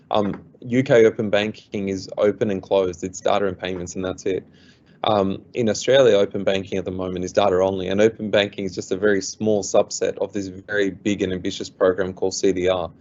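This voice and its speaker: A man's voice: lowish voice, darkish tone. A man speaking as a panelist in a business webinar, earnest and explanatory, often repeating words as he goes. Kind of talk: business presentation. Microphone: video call. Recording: dull lo-fi online call audio.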